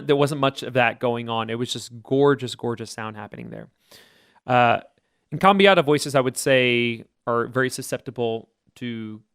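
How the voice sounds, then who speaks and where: A man talks through choir voice types in a relaxed, explanatory podcast chat, often hedging his points. His voice sits at an ordinary middle pitch. The sound is dry and close, with no room around it. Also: smooth, dry recording. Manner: thoughtful, explanatory, hedging with "I would say". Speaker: podcast co-host, choir teacher.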